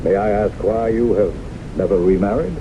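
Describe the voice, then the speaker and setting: On a noisy, dull old radio broadcast, an actor plays an urbane, skeptical doctor. His low voice moves expressively as he courteously probes in radio-drama style. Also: fairly even intonation, lightly acted. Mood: probing, urbane. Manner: measured, probing, urbane.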